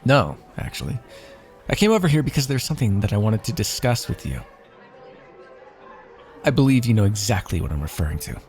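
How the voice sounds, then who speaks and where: A man's voice: fairly low pitch, very animated, fairly quick, long pauses, darkish tone, faint music behind. A man playing a wry, teasing royal heir and former mercenary in an ASMR fantasy roleplay, with formal, theatrical phrasing. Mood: earnest.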